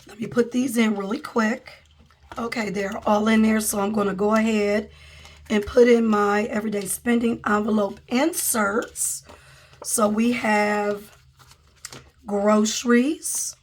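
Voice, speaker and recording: A woman's voice: mid-range voice, fairly even intonation, measured pace. A woman with a cold who is losing her voice, chatting casually to viewers as a budgeting vlogger at a table. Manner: chatty, addresses viewers as guys and y'all. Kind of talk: casual vlog chat. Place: indoors at a table, camera overhead.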